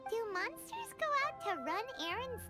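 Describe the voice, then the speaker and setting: A very high, squeaky little fairy girl chirps like a cheeky game sidekick. Her pitch leaps about in an exclamatory, childlike way. Loud music plays behind her. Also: wildly swooping pitch, normal speed, heavily acted. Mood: curious. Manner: exclamatory, refers to herself in third person. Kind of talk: video game character dialogue.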